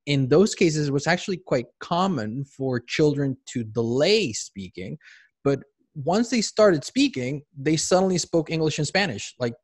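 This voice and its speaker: A man's voice: a little high, sing-song, measured pace, no echo. A man in his forties with a Mexican accent, hosting an interview over a video call at a stand microphone, chatty and often restarting sentences. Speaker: podcast host.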